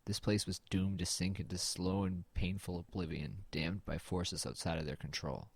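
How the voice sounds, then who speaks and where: A man's voice: sits low, steady tone, moderate pace, gravelly, slightly rough, no room sound, heavily acted. A man playing a weary, wry young man in an audio drama, narrating and talking in an understated, brooding way. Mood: somber narrating. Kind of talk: scripted first-person narration and low-key dialogue in an audio drama.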